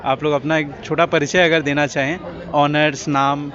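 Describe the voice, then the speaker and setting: A man's voice: on the high side, flat delivery, normal speed, a bit bright. A man interviewing university graduates, friendly, calling them brother and sister, in an informal chat outdoors. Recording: muffled outdoor recording with crowd noise.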